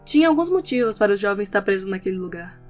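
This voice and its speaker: A woman narrates a scripted audio novel at a middle pitch. Instrumental music with guitar plays beneath her voice. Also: animated, rapid-fire, a little mellow. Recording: background music under the voice.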